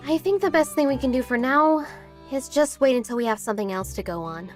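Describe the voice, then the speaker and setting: High-pitched, sensible young detective played by a woman. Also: soft background music, close to an everyday voice. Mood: earnest. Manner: articulate, measured, earnest. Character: sensible, articulate young female detective, cautious and bookish.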